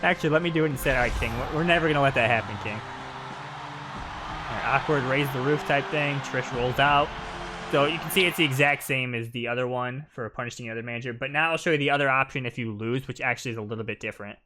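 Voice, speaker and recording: A man's voice: medium pitch, fast talker, noisy background. A man doing casual live commentary on video game footage, talking in an informal way.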